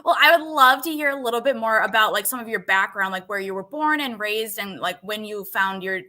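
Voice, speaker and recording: A woman's voice: medium pitch, expressive, fairly quick. A young woman hosting an interview, friendly and chatty, with many "like"s and encouraging replies. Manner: friendly, uses "like" often, warm affirmations. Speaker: interview host. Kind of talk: casual interview chat.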